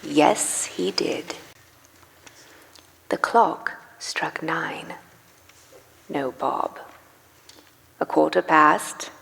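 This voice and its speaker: A woman playing the narrator of a live radio play, telling a storybook tale with theatrical, expressive emphasis. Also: fairly low pitch, creaky, full character voice. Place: large church, live performance. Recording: echoey church hall, music behind.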